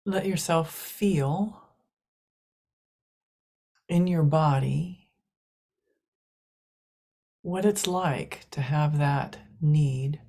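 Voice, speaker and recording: A woman leading a guided meditation in a soft, hushed manner, leaving long pauses between short phrases. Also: low-pitched, creaky, husky.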